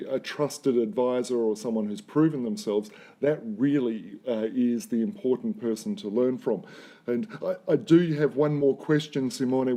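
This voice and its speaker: A man hosting an interview, summing up his guest's answers in a measured, encouraging way. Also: mid-range voice, mellow. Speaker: interviewer host.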